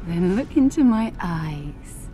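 An actress playing a seductive, teasing succubus demon in a video game, with sultry, playful delivery. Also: female voice, on the low side, sing-song, slow pace, dark tone, faint music behind, poor audio.

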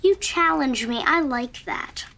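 A man's voice: extremely high pitch, a little slow, vocal fry, noisy background, full character voice. A boy actor playing a fussy, pedantic genius child in a sitcom, talking in a precise, formal way. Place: comic book store.

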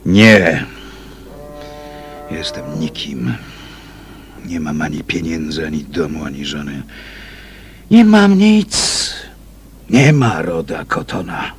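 An actor in a radio drama playing a broken, homeless drunk who rambles and craves whisky. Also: male voice, rumbling low, very animated, slow pace, pauses often, soft background music, exaggerated voice. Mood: despairing, bitter.

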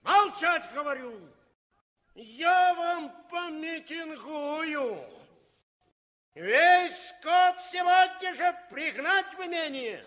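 An actor playing a bullying, servile estate steward, barking orders in a 1960s Soviet radio play. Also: male voice, very high-pitched, lively intonation, very slow, pushed and bright, full character voice. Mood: bullying, threatening. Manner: barking, haranguing, repetitive orders. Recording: reverberant hall with crowd murmur.

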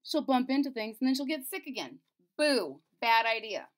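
A woman voicing a hot-tempered, worried storybook king in a loud, commanding, exasperated way. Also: fairly high pitch, lively intonation, moderate pace, natural voice.

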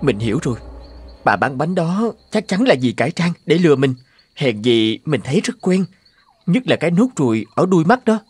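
Plaintive young orphan, husky, animated. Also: male voice, a little high, normal speed. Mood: bitter, distressed. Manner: lamenting, plaintive. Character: sorrowful, mistreated orphaned young man, gentle and earnest. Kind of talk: animated fairy-tale dubbing. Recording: music under the voice.

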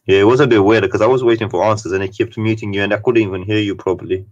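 A man's voice: lowish voice, steady tone, rapid-fire. A man calling in to a debate show, chatting casually and agreeably, sometimes hesitant. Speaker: caller. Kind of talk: casual call-in chat. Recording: muffled call audio.

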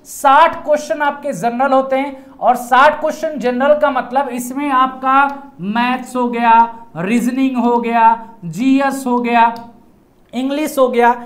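A man in his thirties, an exam coaching teacher, explaining a job notice to students in a casual way, often repeating key points. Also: very high voice. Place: indoor studio in front of a projected screen.

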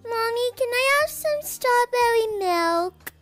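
A woman plays a sweet little kindergarten girl in a cartoon roleplay. The voice is extremely high and childlike, with a pleading, apologetic manner, over music. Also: expressive, takes it slowly, fairly bright tone, full character voice. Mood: asking.

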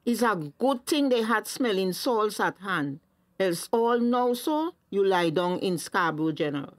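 A woman's voice: mid-range voice, lively intonation, measured pace, no room sound, natural voice. An actress with a Tobagonian accent playing an anxious, fussing middle-aged mother in a radio drama.

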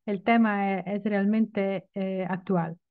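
A woman's voice: medium pitch, slow. Italian-accented woman on a tinny line. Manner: lively, informal, searching for words. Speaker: invited speaker. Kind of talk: talk at an online event. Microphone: video call. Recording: tinny phone-like audio.

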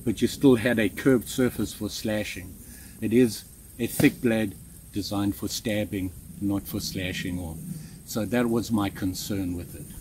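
A man in his sixties giving a relaxed, chatty hands-on knife review outdoors in the woods, with plenty of fillers and restarts. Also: on the low side, a little slow, background noise. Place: outdoors in woods, kneeling in the undergrowth.

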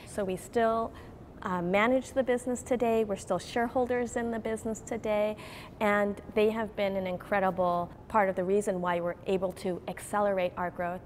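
A woman's voice: mid-range voice, steady tone, normal speed, a little hiss or hum. A woman in her forties, a grocery chain executive, speaking earnestly and animatedly in a TV news interview inside a store.

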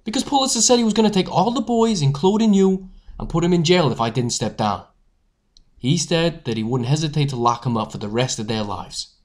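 A woman's voice: low voice, lively intonation, brisk, a little mellow. A performer playing a protective young male newsboy leader in a fan audio drama, speaking urgently and insistently.

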